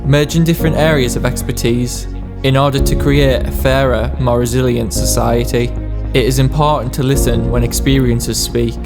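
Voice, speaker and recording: Man declaiming over loud music. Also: mid-range voice, fairly even intonation, normal speed. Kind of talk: scripted dramatic spoken-word reading.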